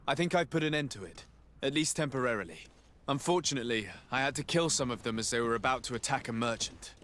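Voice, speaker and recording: A man's voice: medium pitch, quick pace, a bit bright, a little hiss or hum, lightly acted. A man acting as a stern emissary of a merchant state in a video game, speaking formally and with measure. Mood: grave, formal.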